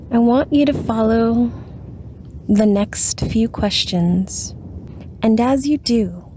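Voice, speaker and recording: A woman leading a guided meditation in a soothing, unhurried manner with long pauses. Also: medium pitch, mellow, a little hiss or hum.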